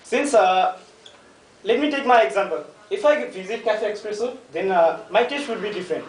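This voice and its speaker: Man, young, hesitant student presenter, boomy classroom, faint background noise. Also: expressive, moderate pace. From Nepal. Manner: hesitant, jokey, addresses the class. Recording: echoey classroom, mic far off.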